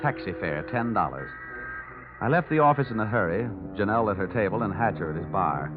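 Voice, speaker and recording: Male actor as hard-boiled investigator, low voice, sardonic wisecracks, noir radio narration, tinny old recording, music underneath. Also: animated, brisk, close to an everyday voice. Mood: wry, sardonic. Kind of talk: 1940s-50s radio noir narration and acting.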